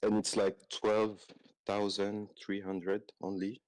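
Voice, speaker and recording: A man's voice: on the low side, monotone, slow, a little mellow, poor audio. A man whose first language is French, calling in to a livestream and answering in short plain sentences with some hesitation. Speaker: caller. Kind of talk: casual chat.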